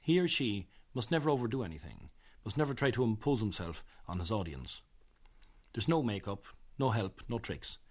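A man with an Irish accent presenting scripted documentary narration in a measured, earnest manner. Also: fairly low pitch, very animated, darkish tone.

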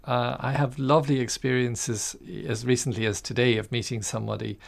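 Creaky-voiced, halting Irish man. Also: medium pitch, level tone. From Ireland. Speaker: priest, interview guest. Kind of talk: reflective conversational storytelling.

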